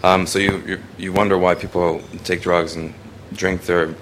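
Young guy from hong kong, really low voice, lots of ums, faint room hum behind. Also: level tone, moderate pace, a bit bright. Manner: rambling, many ums and restarts. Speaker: student photographer presenting his work. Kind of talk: casual spoken presentation.

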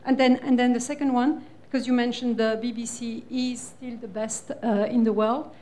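Brief: woman correspondent from the audience, slightly high, mellow tone, polite and halting. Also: steady tone. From New Zealand. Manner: polite, halting with many uhs. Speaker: foreign correspondent asking a question. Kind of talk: audience question at a panel.

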